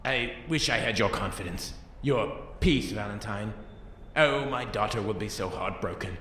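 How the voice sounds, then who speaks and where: An actor playing a gentle, sorrowful middle-aged jailer and father, halting and emotional, in an audio drama. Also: on the low side, a little hiss or hum, natural voice. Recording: echoey room, loud music bed.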